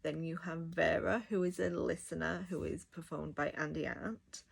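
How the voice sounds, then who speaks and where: Low-pitched, unhurried woman reviewer with gravelly creak. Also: fairly even intonation. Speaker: audiobook reviewer. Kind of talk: casual review talk to viewers.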